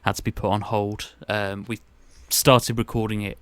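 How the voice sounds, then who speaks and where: A man's voice: fairly low pitch, little pitch movement, moderate pace, clean tone, no room sound. A man co-hosting a podcast about a theatre company in casual discussion.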